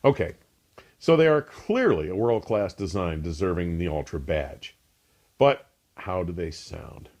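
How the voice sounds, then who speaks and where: A man with a low voice delivers a scripted equipment review with very lively intonation. His phrasing is polished and emphatic, like a keen reviewer hosting his own show. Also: measured pace. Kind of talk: scripted product review narration.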